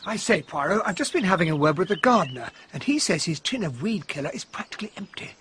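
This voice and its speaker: An actor playing an earnest English gentleman, a detective's loyal sidekick, plain-spoken and husky, in a radio drama. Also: high-pitched, very animated, quick pace, a little hiss or hum. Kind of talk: radio drama acting and narration.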